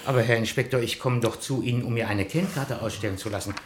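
A man's voice: medium pitch, quick pace, lightly acted. An actor playing a meek, earnest, middle-aged petitioner in a 1960s radio play, politely hesitant and naively literal as he pleads. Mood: pleading.

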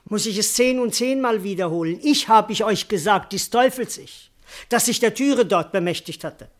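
A woman's voice: medium pitch, expressive, moderate pace, lightly acted. A woman playing a cheeky, put-upon male servant in a classical verse radio comedy, protesting earnestly and emphatically with many words. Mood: insistent, aggrieved. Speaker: actor.

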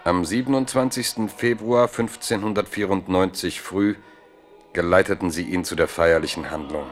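A man narrating a literary radio play in German with measured, dryly ironic storytelling. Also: deep voice, natural voice. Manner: measured, dry storytelling with irony.

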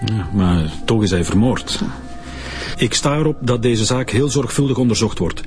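A man's voice: lowish voice, moderate pace, darkish tone, lightly acted. A man playing a stern, insistent police chief in a radio drama, speaking formally with a Flemish accent. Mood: grave, insistent. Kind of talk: radio drama acting in Dutch. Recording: music behind the voice.

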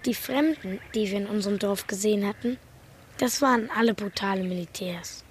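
Eine Schauspielerin spricht in einem literarischen Hörspiel eine staunende, leicht spöttische Stimme aus dem Dorf. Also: female voice, medium pitch, brisk, a little hiss or hum, close to an everyday voice. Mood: wry, wondering. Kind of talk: literary radio drama.

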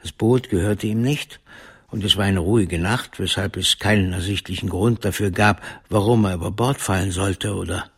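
An actor playing a laconic, world-weary, plain-spoken man with a husky voice in radio drama dialogue. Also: low voice, normal speed, a bit bright, exaggerated voice. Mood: musing. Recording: music under the voice. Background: music.

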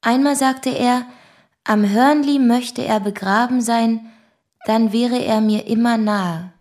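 A woman's voice: fairly high pitch, takes it slowly, darkish tone. An actress playing a sober young woman who gives formal testimony in a radio drama.

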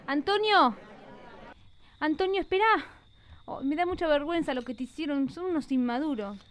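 A woman's voice: high-pitched, moderate pace, a little hiss or hum, lightly acted. A woman acting an earnest, kind-hearted young woman in a live radio play. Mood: concerned.

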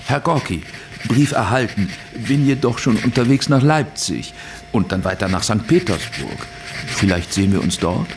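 An actor playing a stubborn, self-important revolutionary writer with a curt, clipped delivery in a German radio play. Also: male voice, fairly low pitch, animated, moderate pace. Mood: self-important, brisk.

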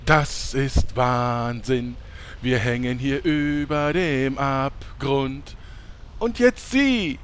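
A man's voice: medium pitch, very slow, a little hiss or hum. A man playing a relentlessly chatty, annoyingly cheerful sidekick in a German comedy audio drama. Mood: chirpy, pestering. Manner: chirpy, pestering, theatrical.

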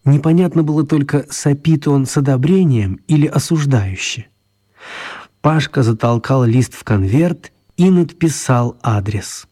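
A man's voice: medium pitch, measured pace, dark tone. A man narrating a children's radio story in a scripted storytelling style.

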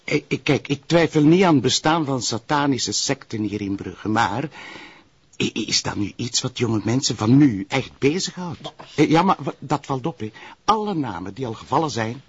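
An actor playing a sceptical, cautious police detective in a TV crime drama, arguing in halting bursts with a Flemish accent. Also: male voice, medium pitch, expressive, husky, dry recording, highs cut off. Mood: sceptical, insistent. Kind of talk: naturalistic TV crime drama acting.

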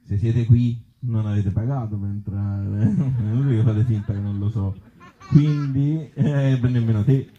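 A man's voice: medium pitch, a little slow, warm tone. A man with a Tuscan accent, an author and actor performing and chatting live in a wry, self-mocking way with hesitant asides. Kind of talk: live reading of absurd comic pieces and casual talk with audience. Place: outdoor festival event. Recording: muffled, dull live recording.

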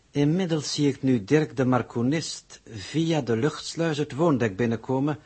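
Husky, pompous coordinator. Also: male voice, mid-range voice, darkish tone. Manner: formal, pompous, measured. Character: pompous, formal spaceflight coordinator presenting a broadcast. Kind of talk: 1960s Dutch radio drama acting.

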